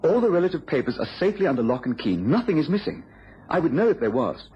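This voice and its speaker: Anxious British assistant, earnest, faltering, mid-pitched, radio drama, dull recording with faint hiss. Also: male voice, sing-song, fast. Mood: anxious, pleading. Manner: earnest, worried, stammering slightly. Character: anxious inventor's assistant pleading for a detective's help.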